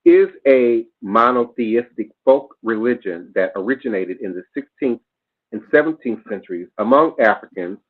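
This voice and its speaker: Male host reading the news, mid-pitched voice, spells out names and corrects himself, narrow phone-quality sound. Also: expressive, breathy edge. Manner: careful, spells out names letter by letter; pauses and corrects his own pronunciation. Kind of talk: reading news reports aloud.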